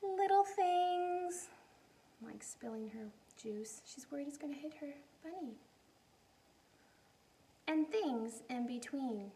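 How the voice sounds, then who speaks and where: A woman's voice: high-pitched, expressive, slow pace, long pauses, warm tone. A woman reading a picture book aloud expressively to young children, adding simple explanations as a teacher would.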